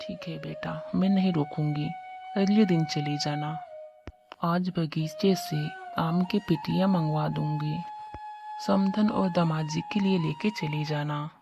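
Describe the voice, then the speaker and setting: A woman's voice: low voice, steady tone, slow pace, gravelly, heavily acted. A woman voicing a caring older mother-in-law and grandmother in a cartoon story. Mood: affectionate. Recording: dull lo-fi sound, music behind.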